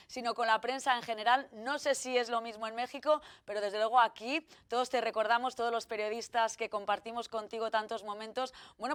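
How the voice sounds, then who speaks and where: A woman's voice: on the high side, a bit bright, no room sound, clean studio sound. A woman hosting a regional TV magazine, chatting through an interview with lots of fillers and self-corrections. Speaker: TV show host.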